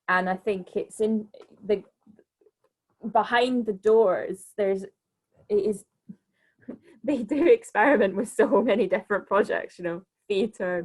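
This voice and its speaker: A woman speaking as an arts director in an informal panel discussion, haltingly, often restarting her sentences. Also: mid-range voice, gravelly, a little mellow.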